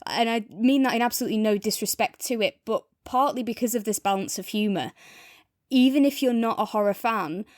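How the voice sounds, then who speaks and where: A woman's voice: on the high side, brisk, a little mellow, no echo, studio quality. A woman co-hosting a podcast, chatting casually and enthusiastically as she gives a review. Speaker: podcast co-host reviewing audio drama.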